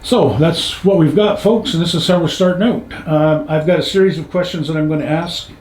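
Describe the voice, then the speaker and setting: A man's voice: on the high side, brisk, darkish tone. A man hosting an informal interview, with a folksy, easygoing way of phrasing questions. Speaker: interviewer, show host. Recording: echoey room.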